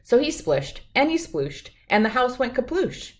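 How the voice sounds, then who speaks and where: A woman's voice: fairly high pitch, very animated, unhurried. A woman hosting a read-aloud, telling a children's storybook.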